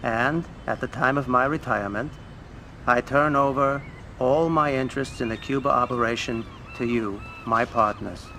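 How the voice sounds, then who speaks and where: An older mob financier gives a formal speech in a bright, forceful tone. His delivery is stately and ceremonial. Faint outdoor noise sits behind him in a game cutscene. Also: medium pitch, normal speed. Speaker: host of a toast.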